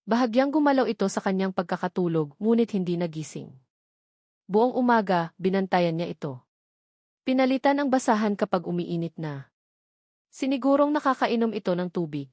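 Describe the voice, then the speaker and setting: A woman reading a scripted dramatic story in measured, deliberate short phrases. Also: medium pitch, expressive, a little mellow, no echo, clean studio sound. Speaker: audiobook narrator.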